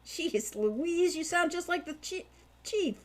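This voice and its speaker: A woman's voice: very high voice, animated, slow pace, pitched above the performer's own voice, heavily acted. A woman voicing an awkward, good-natured young male thief in an audiobook, slangy and folksy with a stammer. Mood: sheepish, chummy.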